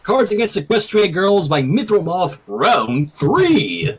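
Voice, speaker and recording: A husky-voiced man reads fiction aloud for a stream. His pitch sits a little high and swings widely as he moves between narration, acted dialogue and casual asides. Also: slow. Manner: expressive, acts out dialogue while narrating. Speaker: fanfic reader and stream co-host. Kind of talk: animated storytelling read aloud with casual chat.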